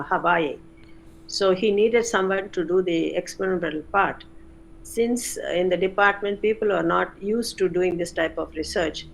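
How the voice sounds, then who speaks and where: An older woman, a retired chemistry professor recalling her career in an interview, unhurried with frequent pauses. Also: on the low side, vocal fry, rough recording. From India. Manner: unhurried, many "uh" pauses, self-corrects. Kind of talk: reflective interview answers.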